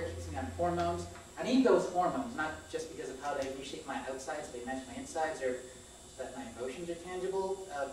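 A woman's voice: low voice, darkish tone. A woman in her thirties reading a candid, emphatic personal monologue on a stage. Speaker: spoken-word storyteller on stage. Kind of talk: personal monologue read aloud to an audience. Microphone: far camera microphone. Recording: echoey theater, distant mic, faint noise.

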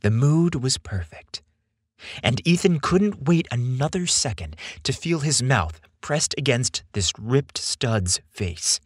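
A man narrating a scripted romance story as a podcast host, in an expressive storytelling style. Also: mid-range voice, slow, slightly rough, no echo, clean studio sound.

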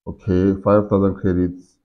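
A man in his forties with a low voice talks through a software tutorial in a casual way. He guides himself and the listener step by step. The recording is slightly dull and lo-fi. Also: flat delivery, a little slow. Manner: talking himself through each on-screen step. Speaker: tutorial host. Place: indoors in a furnished room.

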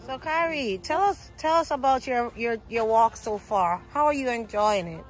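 A woman's voice: a little high, moderate pace, low-quality recording. A woman playfully interviewing a child, in an exaggerated presenter tone.

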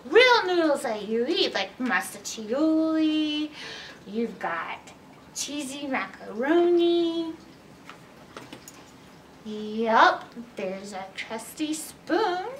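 A middle-aged woman hosting a read-aloud story time for young children, animated and teacherly in manner. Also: high voice, very slow. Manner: animated, exaggerated, teacherly, asking questions. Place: indoors in a library, by bookshelves. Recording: roomy distance from mic, background noise.

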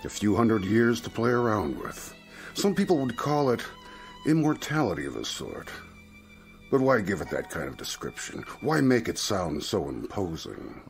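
Silky, animated devil salesman. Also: fairly low pitch, soft background music. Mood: persuasive. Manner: silky, coaxing, theatrically drawn-out phrasing. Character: suave devil, a wheedling genteel salesman of bargains. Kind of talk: radio drama acting.

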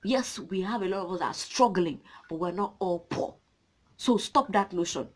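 A young woman from Nigeria, Nigerian accent, rants animatedly to a camera close in front of her. Her voice is husky, her English that of a second language, and the small room keeps it close. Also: medium pitch, normal speed. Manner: passionate, animated, gesturing. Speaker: vlogger. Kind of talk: ranting to camera. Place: small indoor room with a draped cloth backdrop.

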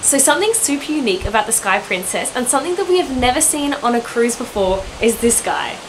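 A young woman presents a cruise ship to a handheld camera in a relaxed, friendly way. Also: on the high side, fast, background noise. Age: young adult. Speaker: travel vlogger. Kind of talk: casual travel vlog tour. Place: cruise ship deck and lounges.

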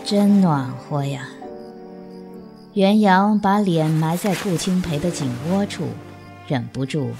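A woman's voice: lowish voice, lively intonation, slow pace. A woman reading scripted narration as the narrator of an audio drama. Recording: music behind the narration. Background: background music.

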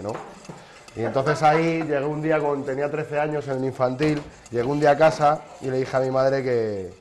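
A man's voice: mid-range voice, a little mellow. A man in his thirties from Spain, a sports journalist guest chatting casually in a kitchen interview, telling rambling anecdotes with many restarts. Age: 30s.

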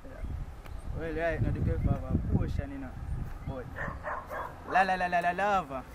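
A man's voice: fairly high pitch, normal speed, very noisy. A young man with a Jamaican accent, talking in a casual outdoor interview and chanting his verses in patois. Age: young adult. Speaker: artist. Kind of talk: casual interview and a cappella deejaying. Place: outdoors in a garden. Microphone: camera mic from a few feet away.

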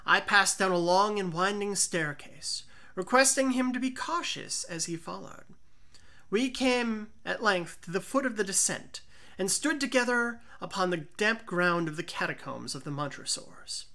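A woman reading a classic tale as dramatic audiobook narration, theatrical and deliberate. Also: mid-range voice, lively intonation, bright, forceful tone. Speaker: amateur story reader.

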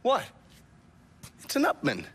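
An actor playing a worldly, controlled American government agent in a video game, talking in a knowing, matter-of-fact way. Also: male voice, a little slow, a bit bright, lightly acted. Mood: matter-of-fact, somber. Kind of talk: video game cutscene acting.

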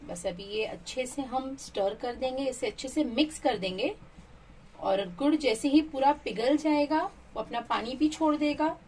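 A woman's voice: sits high, unhurried, darkish tone, recorded from a distance, a little hiss or hum. A woman hosting her cooking channel, chatting through a recipe as voice-over and mixing English phrases into her talk.

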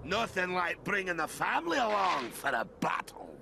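An actor playing a big, proud, hearty Scottish warrior father in an animated game, blustering and gravelly. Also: sits high, normal speed, heavy background noise, full character voice. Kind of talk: animated game character acting. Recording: music behind. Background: game music.

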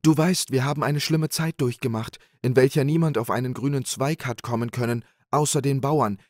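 A man's voice: mid-range voice, normal speed, no room sound, clean studio sound, pitched above the performer's own voice, natural voice. A man doing a panicky, pleading greedy innkeeper. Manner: agitated, pleading, excitable. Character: scheming boastful greedy male innkeeper, middle-aged.

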